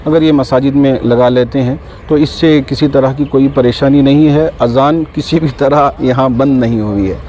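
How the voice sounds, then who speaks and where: A man speaking calmly to reporters as a community spokesman, reassuring and unhurried, with small fillers. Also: medium pitch, a little hiss or hum. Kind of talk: press statement.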